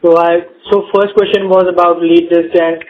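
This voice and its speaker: Halting Indian executive, slightly high voice, muffled audio. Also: male voice, little pitch movement. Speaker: company executive answering analysts. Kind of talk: question-and-answer on an earnings call. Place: conference call. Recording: muffled conference audio with faint noise.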